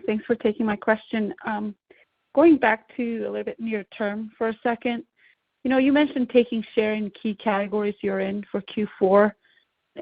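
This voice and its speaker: Female analyst calling in, polite probing questions, medium pitch, dry tinny phone audio. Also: dry recording. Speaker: financial analyst, caller. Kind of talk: earnings call questioning. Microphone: phone call. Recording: dry, thin phone line.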